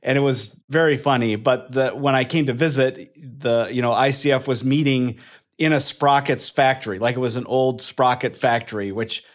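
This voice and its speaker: A man hosts a casual interview at an ordinary mid pitch for a man. He is chatty and informal, winding into his questions with fillers, restarts and asides. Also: moderate pace. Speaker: interview host.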